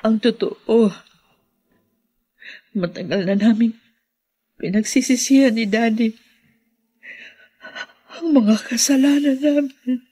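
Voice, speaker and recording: An actress playing a remorseful, grief-stricken daughter in a radio drama, pleading and emotional. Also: female voice, on the high side, slow pace, long pauses, mellow, breathy edge.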